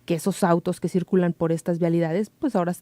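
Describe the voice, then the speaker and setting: Woman radio host, mellow tone, dry close studio sound, conversational questioning. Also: fairly low pitch, fairly even intonation, fast talker, no echo. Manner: conversational, questioning, thinks aloud. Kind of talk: radio interview.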